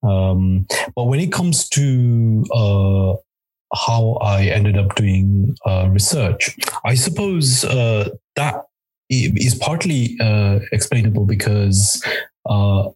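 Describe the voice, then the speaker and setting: A man's voice: on the low side, lively intonation, takes it slowly, a little mellow, poor audio. A middle-aged man from Kenya, a law academic answering questions thoughtfully, with frequent hesitations and restarts. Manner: hesitant, many restarts and fillers. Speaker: law academic, interview guest.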